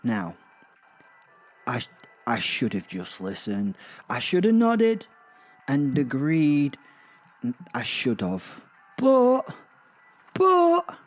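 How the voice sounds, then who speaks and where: A man playing a sardonic, awkward werewolf who narrates in a halting, self-mocking way with theatrical emphasis, in a horror-comedy audio drama. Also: a little high, darkish tone. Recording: clear background ambient noise.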